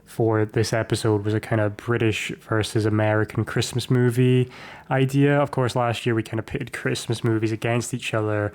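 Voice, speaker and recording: A man's voice: lowish voice, little pitch movement, normal speed, darkish tone. A man co-hosting a podcast in a casual film discussion, given to joking asides. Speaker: podcast co-host.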